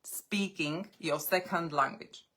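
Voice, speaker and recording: A woman coaching English learners on a live stream. English is her second language, and she speaks deliberately in short phrases with pauses. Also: low voice, fairly bright tone. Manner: non-native English speaker.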